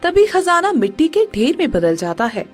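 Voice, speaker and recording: A woman's voice: high-pitched, big pitch swings, moderate pace, a little mellow, faint music behind. A woman giving scripted narration for a moral story.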